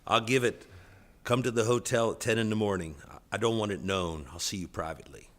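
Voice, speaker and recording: A man's voice: fairly low pitch, steady tone, brisk, fairly bright tone, lightly acted. A man playing a hard-nosed, wealthy small-town businessman in a radio play, curt and guarded, on a reverberant stage. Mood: secretive, bargaining. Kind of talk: stage radio drama acting. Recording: live theatre with long reverb.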